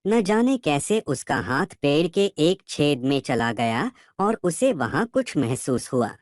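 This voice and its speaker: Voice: mid-range voice, very animated, slow, a little mellow, dry recording, studio quality. A storyteller narrating a scripted moral tale for children.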